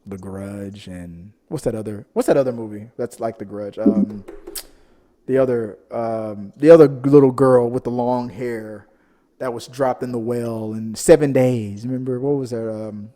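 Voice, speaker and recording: A man's voice: mid-range voice, a little slow, warm tone. A man hosting a film discussion podcast in a casual, rambling, jokey way, often searching for words. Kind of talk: casual chat. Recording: long hall-like reverb.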